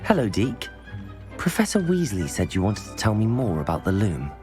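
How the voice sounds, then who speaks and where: An actor playing a polite, helpful young student wizard in a video game, speaking in a courteous, earnest way. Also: male voice, lowish voice, wildly swooping pitch, moderate pace. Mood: polite, curious. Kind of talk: video game character dialogue. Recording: music clearly behind the voice.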